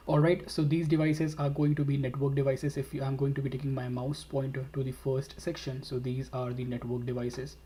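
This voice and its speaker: A man leads a webinar over a computer mic, walking viewers through a screen demo. His delivery stays level and unhurried, with a warm, mellow tone, and he leans on fillers as he explains. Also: medium pitch. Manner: steady explanatory talk with frequent fillers and "all right". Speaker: instructor.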